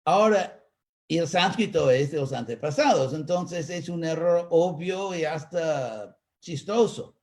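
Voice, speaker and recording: A man with an American accent, a native English speaker, teaching in a live online talk and answering questions, pausing to search for words. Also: a little high, a little mellow. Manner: slow, searching for Spanish words with pauses. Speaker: spiritual teacher.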